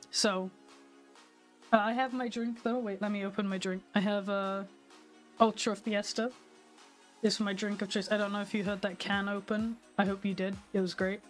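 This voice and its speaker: A woman's voice: medium pitch, little pitch movement, normal speed, faint music behind. A young adult woman streaming, chatting candidly with her viewers, a little nasal from a cold. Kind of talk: casual stream chat.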